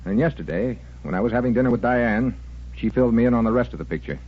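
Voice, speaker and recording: An actor playing a blunt, halting, self-deprecating veteran in a 1940s-style radio soap. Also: male voice, medium pitch, lively intonation, breathy edge. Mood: resentful. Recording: muffled vintage radio drama recording.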